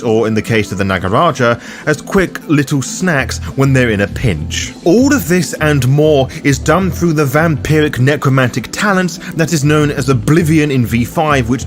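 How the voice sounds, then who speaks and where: Male host for scripted roleplay lore guide; animated intonation, theatrical wording, music underneath. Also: medium pitch. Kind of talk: scripted explainer narration.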